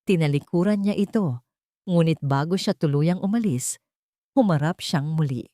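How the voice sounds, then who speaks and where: A woman's voice: low-pitched, normal speed, mellow, dry recording, studio quality. A woman reading a scripted, dramatic story as its narrator.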